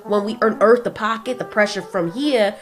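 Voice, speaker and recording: A woman's voice: medium pitch, moderate pace, pitched above the performer's own voice, lightly acted. A woman voicing a stressed, anxious male digger creature who explains nervously in a comic audio drama. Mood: anxious, baffled.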